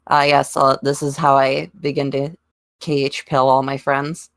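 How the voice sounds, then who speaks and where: Low-voiced woman, dry close sound. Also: flat delivery, measured pace, dry recording. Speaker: podcast guest. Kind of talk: casual chat.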